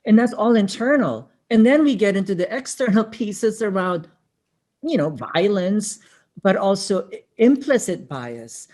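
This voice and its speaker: A webinar presenter with a Filipino accent, talking in an earnest, reflective way and often checking in with "right?". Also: male voice, high-pitched, a little mellow. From Filipino-American family background. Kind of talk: conversational webinar talk.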